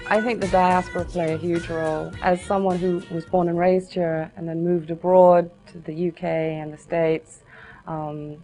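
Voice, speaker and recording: A young adult woman from Ghana speaks in an interview with a low, gravelly voice. She is reflective and earnest. Soft music plays faintly behind her. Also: flat delivery, normal speed. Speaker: singer-songwriter. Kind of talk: interview answers.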